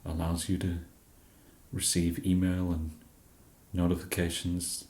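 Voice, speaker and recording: An English man in an ASMR roleplay as a shop genius, speaking softly and hesitantly with many fillers and a dry, self-deprecating humour. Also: low-pitched, monotone, a little slow, roomy sound. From England.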